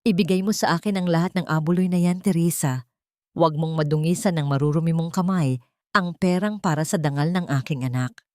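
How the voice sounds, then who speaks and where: A woman's voice: low voice, a little fast, warm tone, dry recording, clean studio sound, lightly acted. A woman voicing a cold, menacing elderly rich mother-in-law in an audio drama story, scornful and commanding. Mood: harsh demanding. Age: older.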